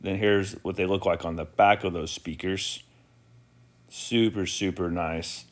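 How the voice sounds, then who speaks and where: A man with a somewhat low voice narrates a product tour for viewers. His delivery is informal and approving, as he points out one feature after another. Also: fairly even intonation, measured pace.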